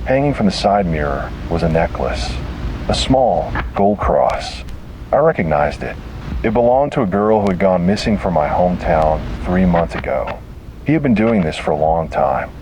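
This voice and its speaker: A man's voice: low voice, big pitch swings, normal speed, a little mellow, a little hiss or hum, poor audio. A man reading a scripted first-person horror story in a grave, dramatic way.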